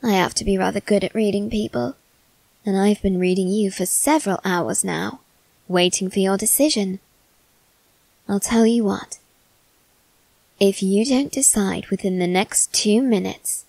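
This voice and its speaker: A silky young male demon, played by a woman, speaks softly and courteously straight to the listener in an ASMR roleplay. The delivery is slow and unhurried, at an average pitch, close to the mic in a dry, dead room. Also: dry recording, rough recording. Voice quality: soft, measured and courteous.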